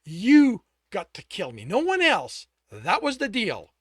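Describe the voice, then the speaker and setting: A man voicing a young man who barks harsh, forceful demands at someone he loves. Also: on the high side, big pitch swings, unhurried, no echo, clean studio sound, full character voice. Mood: harsh, commanding. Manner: loud, clipped, forceful delivery. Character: young man, desperate and furiously commanding.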